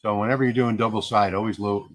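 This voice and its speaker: A man's voice: on the low side, fairly even intonation, fast talker, a bit bright, no echo. A man in his fifties hosting a casual livestream, talking in a rambling way with fillers and restarts. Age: 50s. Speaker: livestream host.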